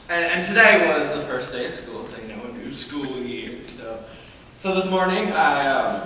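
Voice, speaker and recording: A young man acts out a shaken middle-aged English teacher who keeps stumbling over his words and half-laughing at himself. The voice sits at an ordinary pitch and is caught from far off in a big echoing hall, with steady noise behind it. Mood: rambling, uneasy. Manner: halting, stammering restarts, wry self-mocking laughs. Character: haunted male high school English teacher, middle-aged, wry and broken. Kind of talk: competitive dramatic interpretation monologue. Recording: echoey hall from far off, noisy.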